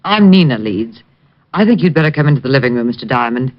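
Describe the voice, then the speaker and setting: A woman's voice: extremely low pitch, animated, fast. A woman acting a wary, formal, suspicious sister in an old radio detective drama. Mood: guarded. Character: guarded, suspicious, composed sister at the door. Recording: muffled old radio recording.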